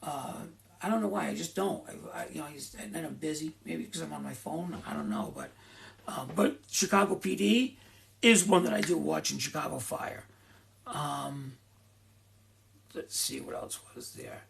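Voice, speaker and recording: Chatty man with a gravelly voice. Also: on the high side, sing-song, moderate pace. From Rhode Island. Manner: chatty, rambling with frequent restarts and asides. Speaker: host of a live Q and A. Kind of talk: rambling casual chat.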